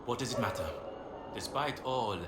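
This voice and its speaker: An actor playing a proud, disgraced knight in a video game, solemn, stoic and resolute. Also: male voice, medium pitch, moderate pace, lightly acted. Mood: proud resolve. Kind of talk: dramatic video game dialogue. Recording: echoey, loud background noise.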